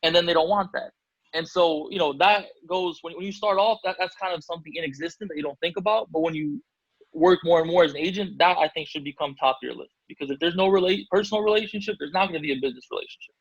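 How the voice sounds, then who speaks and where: A man's voice: on the high side, fast talker, breathy edge, dry recording, low-quality recording. A man from Albania, a basketball agent, answering interview questions casually with many fillers and restarts.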